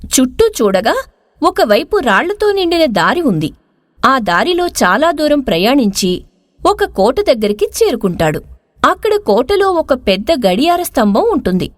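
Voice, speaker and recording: High-pitched, animated woman storyteller. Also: normal speed, no echo, clean studio sound. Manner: expressive storytelling. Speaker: story narrator. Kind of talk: scripted moral story narration.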